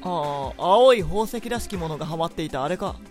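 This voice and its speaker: A woman's voice: fairly low pitch, animated, a little fast, close to an everyday voice. A woman playing a proud, dry, skeptical prince in a radio drama, with curt, cool masculine speech. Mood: dry, curious. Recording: faint music under the voice.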